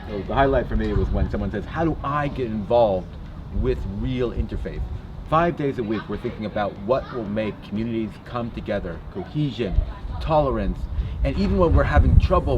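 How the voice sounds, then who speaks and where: Earnest man, muffled outdoor recording. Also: medium pitch. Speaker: rabbi. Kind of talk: interview answer. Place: outdoors in a garden. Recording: dull outdoor audio with traffic noise.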